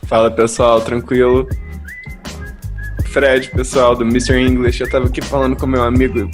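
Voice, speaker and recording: A man's voice: mid-range voice, level tone, moderate pace, faint music behind. A man who teaches English, hosting a casual podcast interview in a playful, joking way and switching between Portuguese and English.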